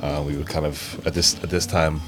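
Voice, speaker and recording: Northern Irish man, thirties, very low voice, loose chatty guest over loud background music. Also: fairly quick. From Belfast, Northern Ireland. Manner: relaxed, rambling, many fillers. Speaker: guest DJ and producer. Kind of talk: casual interview chat. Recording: music playing underneath.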